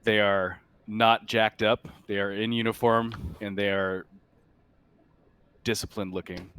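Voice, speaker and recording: A man with a lowish voice runs a tabletop roleplaying game. He describes the setting off the cuff, pausing and restarting as he finds the words. His style is casual and conversational. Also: flat delivery, a bit bright, clean tone, dry recording. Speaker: game master. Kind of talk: improvised narration of a tabletop sci-fi horror game.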